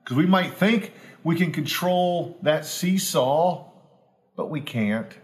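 A man's voice: on the high side, animated, slow. A man, a pastor giving an earnest, homespun devotional talk that builds to emphatic repetition. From Southwest Virginia, US. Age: 40s.

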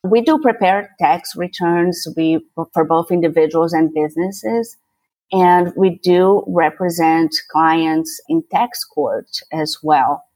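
Middle-aged Brazilian woman, native Portuguese speaker, relaxed interview guest; low-pitched, dry close recording. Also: slow pace, dry recording, rough recording. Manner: easygoing, laughs lightly, repeats words as she thinks. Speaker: guest, tax attorney and CPA. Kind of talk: casual interview talk.

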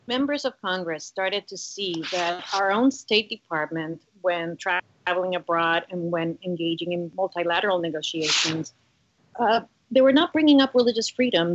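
A woman's voice: lowish voice, dull audio. A woman from the United States, a guest on a podcast, speaking in an earnest, articulate way. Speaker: guest, religious freedom advocate. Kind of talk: podcast interview.

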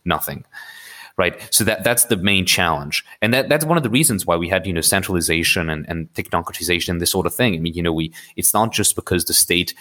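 A man's voice: low voice, expressive, brisk, sharp tone. A man from Morocco, a political theorist interviewed on a podcast, speaking thoughtfully with frequent restarts and hedges. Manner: thoughtful, hedging with many restarts.

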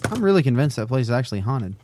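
Animated young man bantering on a podcast. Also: medium pitch, very fast, slight background noise. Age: 20s. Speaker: podcast co-host. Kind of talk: casual chat.

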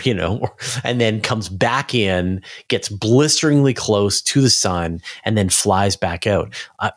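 A man's voice: lowish voice, a bit bright. A man speaking as an interview guest, explaining in a casual, enthusiastic way and thinking aloud as he goes. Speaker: interview guest, space commentator.

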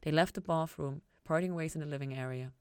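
A woman narrates fan fiction like an audiobook. Her voice is notably low in pitch, and she reads scripted prose in a dry recording with little room sound. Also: fast, darkish tone, clean tone, no room sound. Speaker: podcast host reading fan fiction.

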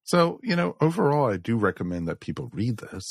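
A man's voice: medium pitch, very animated, a little fast, vocal fry, slightly rough, no echo, studio quality. A man chatting casually as a panelist on a review podcast, giving his opinions in everyday talk. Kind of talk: casual chat.

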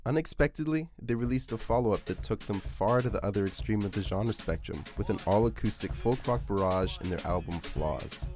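A man hosting a podcast, reading scripted music-documentary narration in a measured, essay-like way. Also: fairly low pitch. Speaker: podcast host. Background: music under the voice.

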